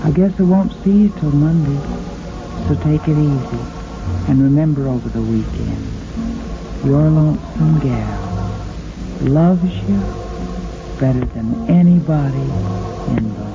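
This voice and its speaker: Slow, sultry woman on vintage radio. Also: sits low, gravelly. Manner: flirtatious, cooing, addresses listener with pet names. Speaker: radio host and singer. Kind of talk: intimate 1940s radio monologue and sponsor pitch. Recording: old muffled radio recording with organ. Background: organ music.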